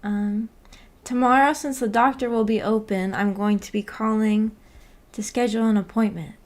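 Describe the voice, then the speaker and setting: A woman's voice: medium pitch, fairly even intonation, normal speed. A woman hosting a livestream, chatting casually with viewers in an informal, hesitant, giggly way. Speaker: livestream host.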